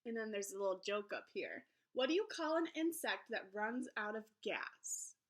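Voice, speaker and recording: A woman teacher reading aloud to young children in an encouraging, expressive storybook manner. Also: mid-range voice, moderate pace. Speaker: elementary school teacher. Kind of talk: children's read-aloud lesson.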